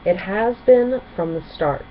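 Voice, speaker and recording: Woman reading kids story, animated, lower voice, a little muffled. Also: a little slow. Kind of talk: expressive children's storybook narration. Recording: dull recording with faint noise.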